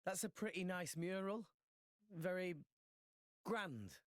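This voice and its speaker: A man's voice: high voice, animated, slow pace, no room sound, studio quality. An actor playing an earnest, good-natured teenage boy hero in a video game, with a hesitant, sincere delivery. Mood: friendly. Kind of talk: video game cutscene voice acting. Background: orchestral music.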